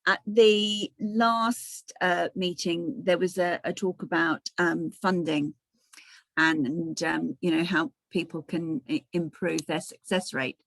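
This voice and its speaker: Bright, low-voiced woman chairing. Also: steady tone, slow pace, gravelly, no echo, low-quality recording. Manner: friendly, hesitant with fillers. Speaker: meeting chair. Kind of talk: chairing an online meeting. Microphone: video call.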